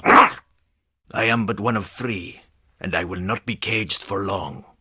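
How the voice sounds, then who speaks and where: An actor playing a menacing, brooding villain in a video game, with a rough voice and a deliberate, ominous delivery. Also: male voice, on the low side, sharp tone, no echo, full character voice. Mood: brooding menace. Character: menacing caged male villain, brooding and predatory.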